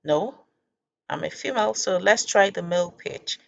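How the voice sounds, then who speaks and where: Low-voiced woman giving a halting tutorial. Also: creaky, lo-fi sound. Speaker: software tutorial presenter. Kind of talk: informal step-by-step screen tutorial.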